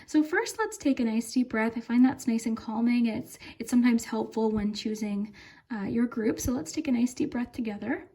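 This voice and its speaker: A woman hosting a casual, unscripted tarot reading, speaking gently and calmly and often trailing off quietly. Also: a little high, normal speed. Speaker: tarot reader and channel host.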